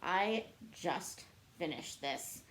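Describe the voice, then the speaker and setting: A woman talks casually to camera as a book vlogger. Her voice sits a little low, and she takes her time with a slow delivery. She comes across as chatty and self-deprecating. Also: animated, a bit bright. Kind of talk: casual chat to camera answering book tag questions.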